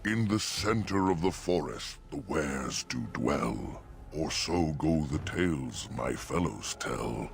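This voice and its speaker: An actor playing an ancient talking oak tree in a fantasy game, solemn and mournful, speaking in archaic rhyming verse with a gravelly voice. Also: male voice, lively intonation, takes it slowly, hardly any pauses, heavily acted. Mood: measured, cryptic. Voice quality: deep, gravelly. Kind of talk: fantasy video game character acting.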